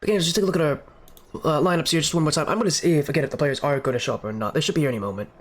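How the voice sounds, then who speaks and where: A man with a slightly raised voice and a quick pace casts an esports league on his stream. He has the flu, so he sounds stuffy-nosed and sniffly. He keeps chatting loosely to fill the wait. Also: on the high side. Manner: chatty filler talk while waiting. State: sick with a stuffy nose, sniffling. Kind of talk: solo stream commentary.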